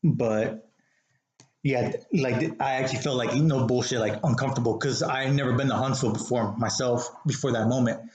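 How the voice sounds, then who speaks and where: A man chatting casually and telling stories in a halting, rambling way full of filler "like"s, as co-host of an informal talk. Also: medium pitch, steady tone, a little mellow. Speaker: show host, rideshare driver telling stories. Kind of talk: casual chat, storytelling. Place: living room, indoors. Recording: roomy, echoey living room.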